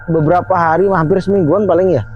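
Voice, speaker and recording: A man's voice: sits high, fairly even intonation, fast, dull audio, music in the background. A man hosting a casual chat about a haunting, prompting his guests and echoing their words back. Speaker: host of a ghost-hunting channel.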